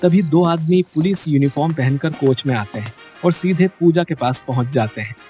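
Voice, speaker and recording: A man's voice: fairly high pitch, moderate pace, mellow. A man reading a scripted bedtime story in a dramatic storytelling style, as narrator. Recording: music bed behind the voice. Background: background music.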